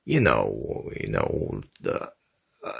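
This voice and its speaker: A hesitant, rambling comic oddball put on by a man. The voice is low, husky and full of fry, crawling along and repeating itself with swooping intonation. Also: huge pitch swings, fairly bright tone, muffled recording, exaggerated voice. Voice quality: gravelly, husky. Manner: halting, repetitive, hesitant.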